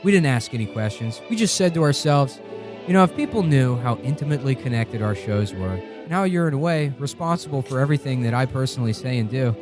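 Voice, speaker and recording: A man plays an eager small-time huckster in a comic radio play. He talks very quickly in a medium-pitched voice, and music plays loudly underneath. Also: lively intonation, lightly acted. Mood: earnest, gushing. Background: loud music.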